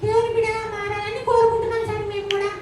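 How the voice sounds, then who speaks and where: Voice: very high-pitched, little pitch movement, very fast. A puppeteer voicing an eager, polite, devout childlike puppet in a live children's show, chatty and respectful. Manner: respectful, chatty, keeps addressing "sir". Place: church hall with children. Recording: echoey hall, distant mic, loud noisy crowd.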